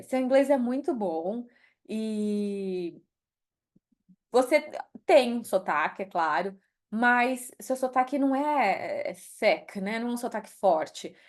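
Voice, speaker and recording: A middle-aged woman from Brazil with a Brazilian accent, speaking candidly as a podcast interview guest and slipping into English phrases. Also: a little high. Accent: Brazilian Portuguese. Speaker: guest, HR executive.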